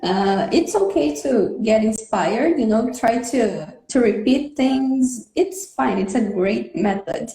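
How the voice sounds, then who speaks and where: A woman's voice: on the high side, expressive, slow pace, creaky, hardly any pauses, mellow. A woman in her thirties from Brazil, a native Portuguese speaker, chatting earnestly as a guest on a video call. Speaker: guest, English teacher. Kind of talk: casual interview chat. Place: home room with patterned wall. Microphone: webcam on video call. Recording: echoey room on video call.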